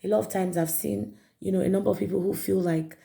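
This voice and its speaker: A woman reviewing perfumes in a chatty vlog, her voice raspy and hoarse from a cold. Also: medium pitch, steady tone, fast talker, mellow. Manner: chatty, repeats herself, many fillers. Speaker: perfume vlogger. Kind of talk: casual product review to camera.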